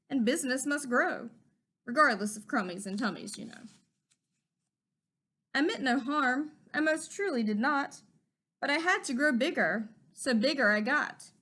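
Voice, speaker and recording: A woman teacher reading a rhyming picture book aloud, telling it with expressive, bouncy storytelling. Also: a little high.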